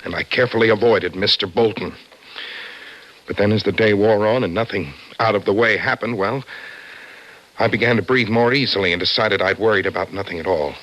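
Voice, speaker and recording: A man's voice: lowish voice, fairly quick, a bit bright, dull audio, close to an everyday voice. An actor playing an anxious, earnest office man haunted by his dreams, in old-time radio drama style. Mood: uneasy, subdued. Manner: earnest, brooding. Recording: noisy vintage radio recording.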